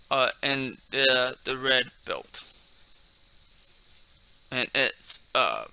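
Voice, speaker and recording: A man hosting a martial arts video in a casual, halting way, repeating and stumbling over words, with a slight speech impairment. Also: on the high side, pushed and bright, slight background noise, low-quality recording. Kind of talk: casual talk mixed with halting reading aloud from a text.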